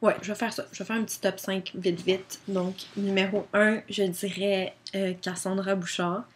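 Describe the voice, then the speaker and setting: A woman's voice: mid-range voice, little pitch movement, normal speed. A young woman with a Québécois French accent chatting casually to her webcam, answering questions with many fillers. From Quebec. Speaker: vlogger.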